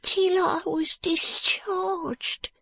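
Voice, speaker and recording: A woman voicing a frightened, pitiful ragged street boy with a high, cracked, tearful voice and slurred street speech. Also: extremely slow, dry recording. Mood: pleading.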